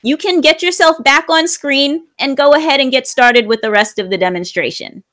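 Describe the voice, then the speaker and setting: A woman's voice: sits high, moderate pace, fairly bright tone. A woman teaching an online English class, explaining grammar patiently and repeating phrases for learners.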